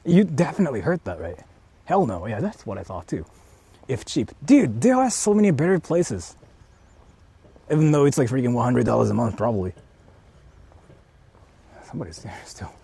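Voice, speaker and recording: A young man, walking around outdoors and chatting casually with livestream viewers, often speaking in a hushed, murmuring voice. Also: medium pitch, wildly swooping pitch, moderate pace, creaky, long pauses, breathy edge. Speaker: vlogger streaming while exploring. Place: outdoors walking through an empty housing estate.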